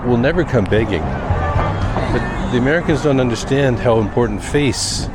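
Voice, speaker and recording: A man talking off the cuff in a personal vlog, reflective and rambling with thoughtful pauses. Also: medium pitch, expressive, loud music behind the voice. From Canada. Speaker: daily vlogger. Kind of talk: unscripted personal vlog monologue. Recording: noisy outdoor recording with vehicle sounds.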